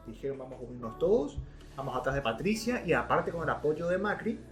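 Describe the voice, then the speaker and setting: A man's voice: fairly high pitch, lively intonation, fairly quick. A man with an Argentine accent giving colloquial, opinionated political commentary as co-host of a podcast. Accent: Argentine Spanish. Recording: music playing behind. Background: background music.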